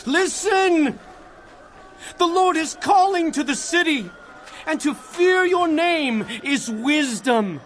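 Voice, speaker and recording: A man's voice: very high-pitched, expressive, slow, fairly bright tone, slight background noise, full character voice. An actor playing an earnest male prophet in a dramatized audio Bible, declaiming solemnly to his people. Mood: urgent, impassioned. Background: dramatic music.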